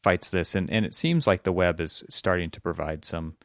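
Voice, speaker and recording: A man's voice: sits low, moderate pace, creaky, a bit bright, no echo, studio quality. A man hosting a podcast, asking curious, rambling questions and agreeing often. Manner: curious, asks long questions, many backchannels. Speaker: podcast host. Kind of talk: casual interview chat.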